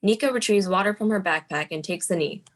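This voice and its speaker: A woman's voice: medium pitch, fast. A woman reading scripted stage directions aloud as the narrator of a screenplay table read.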